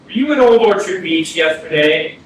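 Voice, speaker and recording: A man's voice: high voice, expressive. Man acting a stern police detective, echoey far-off stage. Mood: pressing. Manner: stern, profane, deliberate. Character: blunt, seasoned male police detective pressing a suspect. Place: indoor arts centre stage, staged reading. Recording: echoey theatre from far off, noisy.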